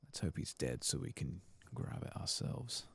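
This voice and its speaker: A man hosting an ASMR game playthrough, speaking softly and casually in a breathy murmur that often drops toward a whisper. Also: deep voice, very animated, normal speed, no echo. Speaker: ASMR gaming channel host. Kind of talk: soft-spoken ASMR game playthrough narration.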